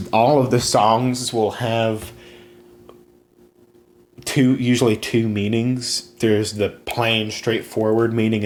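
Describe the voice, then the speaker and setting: A young man with a fairly low voice talks through his plans in a loose, rambling way, full of "you know" and false starts. There is a faint hiss behind him, with an occasional click. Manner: rambling, many fillers and restarts. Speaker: podcast host. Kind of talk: casual solo podcast talk.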